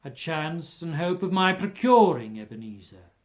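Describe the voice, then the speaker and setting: A man's voice: a little high, very animated. A man voicing the anguished, remorseful ghost of a dead businessman in a storybook reading, mournful and declamatory. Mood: solemn warning. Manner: slow, mournful, declamatory wailing.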